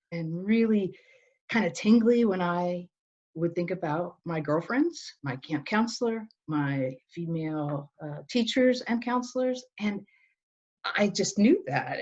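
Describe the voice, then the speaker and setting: A woman's voice: on the low side, a little mellow. A middle-aged woman from Northern Ireland, telling her story earnestly as an interview guest. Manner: earnest, storytelling, many restarts. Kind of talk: conversational interview. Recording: dull, lo-fi.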